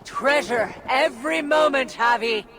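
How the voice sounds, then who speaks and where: A man's voice: very high voice, unhurried, gravelly, pushed and bright, full character voice. A man playing a vengeful fire giant warlord, taunting and mocking with menace, in a fantasy game. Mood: threatening. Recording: noisy, with water and ambient sound.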